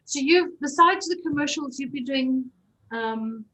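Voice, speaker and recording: A woman hosting an interview in a fairly high voice. She talks in a chatty, enthusiastic way and steers the conversation. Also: a little slow, pushed and bright, a little hiss or hum, rough recording. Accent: slight non-native accent in Hebrew. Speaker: interview host.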